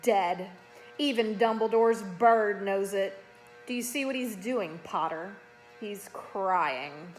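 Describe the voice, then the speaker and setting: A woman's voice: mid-range voice, slow, soft background music, close to an everyday voice. A woman voicing a menacing teenage male villain in a children's fantasy storybook, taunting, cold and self-satisfied. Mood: cold gloating.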